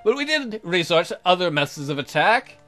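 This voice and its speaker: A man's voice: sits high, sing-song, fairly quick, bright, forceful tone, faint music behind. A man hosting a let's play, reading a visual novel aloud in a jokey, theatrical, exaggerated way with comic asides.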